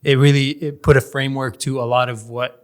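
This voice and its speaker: A man from Canada, a guest in a casual interview, talking in a measured way and often pausing to search for words. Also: mid-range voice, level tone, clear, resonant tone. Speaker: podcast guest, real estate developer.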